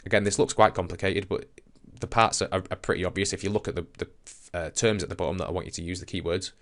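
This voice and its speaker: A man's voice: sits low, fairly even intonation, quick pace, a bit bright. A man teaching a science lesson in an informal, explanatory way, talking conversationally and thinking aloud as he demonstrates.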